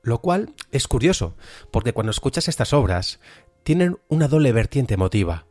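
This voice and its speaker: A man's voice: medium pitch, big pitch swings, moderate pace, a little mellow. A man with an accent from Spain presents an enthusiastic, semi-scripted podcast review of radio drama. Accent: Spanish, from Spain. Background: background music.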